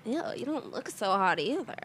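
A woman's voice: fairly high pitch, sing-song, normal speed, fairly bright tone, slight background noise. A woman in her thirties improvising a prank call in casual slangy speech, on a close studio mic. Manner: slangy, uses filler "like". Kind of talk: improvised prank call as a gushing tourist wife. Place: small decorated indoor studio. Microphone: close studio mic on a boom arm.

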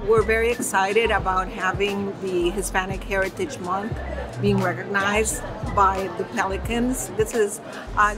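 Female, middle-aged, measured formal interview statement, music behind. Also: medium pitch. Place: busy arena concourse.

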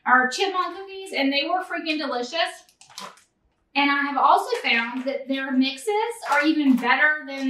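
Chatty high-voiced woman in kitchen. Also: bright, forceful tone, far from the mic, a little hiss or hum. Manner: chatty and enthusiastic, addresses viewers as y'all. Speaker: cooking channel host. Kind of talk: casual cooking vlog. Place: large home kitchen. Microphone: camera a few feet away. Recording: echoey kitchen, voice a bit off-mic.

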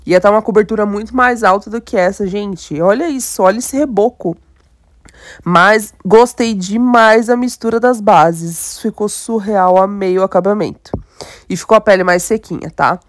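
A woman's voice: medium pitch, moderate pace, no room sound. Brazilian girl w a stuffy nasal voice from a cold, gushing about makeup to her viewers, dry sound. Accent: Brazilian Portuguese. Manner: chatty, enthusiastic, addresses viewers directly. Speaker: beauty vlogger. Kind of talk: casual makeup tutorial chat.

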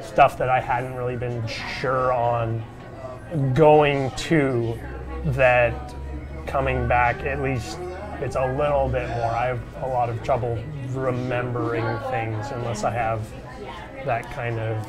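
A man in his twenties, a former exchange student, speaking in a halting, thoughtful way with many restarts. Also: medium pitch, flat delivery, over music. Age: 20s. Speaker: former study abroad student. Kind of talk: casual interview talk. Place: small shop, indoors.

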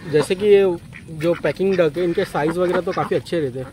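High-voiced man interviewing on a farm. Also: fairly quick, darkish tone. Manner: friendly, echoing answers back. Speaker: interviewer and channel host. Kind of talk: casual interview. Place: outdoor farm.

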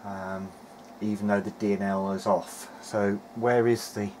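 A man with a fairly low voice talks through a hands-on electronics demonstration in a casual way. He thinks aloud as he goes, somewhat hesitant between phrases. There is faint noise behind him. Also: little pitch movement, a little slow, a little mellow. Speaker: hobbyist presenter of an electronics repair video diary. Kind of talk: casual technical narration while demonstrating.

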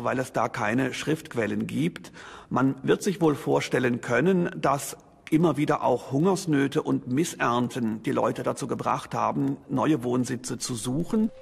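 A man's voice: medium pitch, creaky, slightly rough. A man speaking as an expert, explaining things in a documentary interview.

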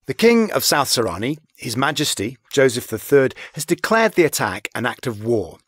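An actor playing a solemn television news anchor, reading a formal, emphatic bulletin in a game. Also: male voice, mid-range voice, very animated, normal speed, a bit bright, husky, no room sound, studio quality. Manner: emphatic newsreader delivery. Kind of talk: formal TV newscast in a game cutscene.

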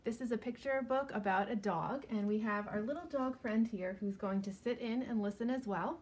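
A woman in her thirties giving an expressive picture-book read-aloud, speaking directly to young children. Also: on the high side, moderate pace, husky. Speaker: children's librarian reading at story time. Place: indoors, living room at home.

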